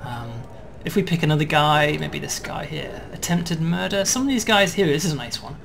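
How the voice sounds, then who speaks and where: Man, slightly high voice, walking through a game demo, relaxed explainer, faint background hum. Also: moderate pace, creaky. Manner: explanatory, frequent "right?" and "um". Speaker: game developer demoing.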